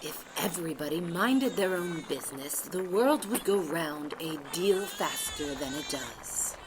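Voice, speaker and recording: Woman playing a rude, short-tempered Duchess, unhurried. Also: fairly low pitch, animated, noisy background. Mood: curt, scornful. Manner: brusque, snapping, bossy. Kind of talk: audiobook character acting.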